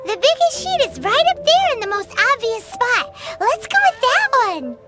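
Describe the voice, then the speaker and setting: A woman's voice: very high voice, big pitch swings, normal speed. A woman voicing a tiny floating female fairy companion, bubbly and greedy, who talks about herself in the third person. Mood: curious. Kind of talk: video game dialogue. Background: game music.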